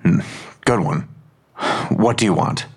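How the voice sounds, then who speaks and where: A man playing a curt, guarded character with a husky voice in an audio drama, gruffly dismissive. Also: fairly low pitch, measured pace, a little mellow. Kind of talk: full-cast audio drama acting.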